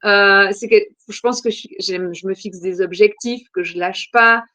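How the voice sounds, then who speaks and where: A woman's voice: mid-range voice, measured pace, sharp tone, no echo, dull audio. A woman in her forties from Belgium, a podcast guest answering questions in a thoughtful, hesitant way with many fillers. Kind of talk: casual interview answers.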